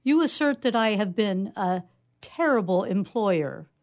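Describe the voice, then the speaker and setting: A woman's voice: mid-range voice, lively intonation, measured pace, a little mellow. A woman reading comic fiction as a haughty mad scientist, scolding in a pompous, florid manner. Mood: haughty reproach. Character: haughty, indignant mad scientist dictating a letter.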